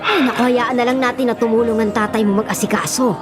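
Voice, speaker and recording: A woman's voice: on the high side, fairly even intonation, fast, natural voice. A woman with a Filipino accent playing a kind, worrying older mother in a radio drama. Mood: worried, gentle. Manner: fretful, exclaiming. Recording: music and crowd noise behind. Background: music, crowd sounds.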